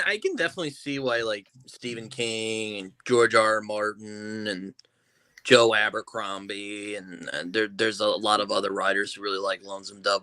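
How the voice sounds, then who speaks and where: Male, lower pitch with sharp bright edge, dry sound, conversational book discussion. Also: dry recording, poor audio.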